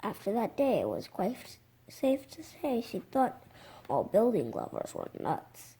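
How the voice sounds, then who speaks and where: A six-year-old child reading a picture book aloud, haltingly, with stumbles over words. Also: medium pitch, huge pitch swings, warm tone, slightly rough. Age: young child, six. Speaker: reader.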